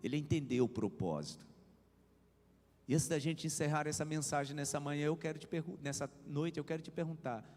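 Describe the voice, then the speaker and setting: Preaching pastor in a reverberant hall. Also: male voice, fairly high pitch, a little fast, darkish tone. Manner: preaching with swelling emphasis and repeated refrains. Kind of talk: sermon. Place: church hall.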